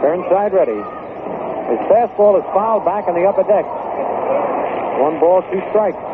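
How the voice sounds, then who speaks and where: A man's voice: high voice, animated, unhurried, narrow, tinny audio. A man calling baseball play-by-play in 1960s radio style, switching between clipped calls and conversational asides. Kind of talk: 1960s radio sports commentary. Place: outdoor ballpark broadcast booth. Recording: noisy, thin old AM radio broadcast.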